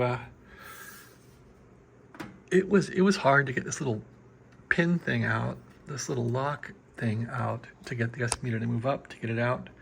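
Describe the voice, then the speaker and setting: A man's voice: mid-range voice, vocal fry, background noise. An American man giving a casual, unscripted show-and-tell as a hobbyist, talking in a rambling, folksy, colloquial way into a handheld phone. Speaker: radio hobbyist showing a restoration project.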